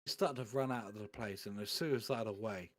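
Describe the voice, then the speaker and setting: A man reading fan fiction aloud on a stream in a comic dramatic style, swearing and halting over misspelt words. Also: fairly low pitch.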